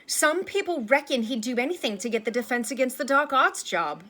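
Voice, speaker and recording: Woman reading as an earnest, bright-voiced schoolboy. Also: mid-range voice, a little slow. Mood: urgent. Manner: hesitant yet blurting. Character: earnest, plain-spoken teenage schoolboy. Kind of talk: storybook character voice.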